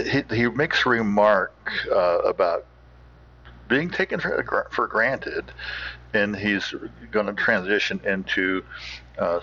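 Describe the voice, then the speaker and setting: An American man giving halting, unscripted commentary as a podcast host. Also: low-pitched, bright, forceful tone, highs cut off.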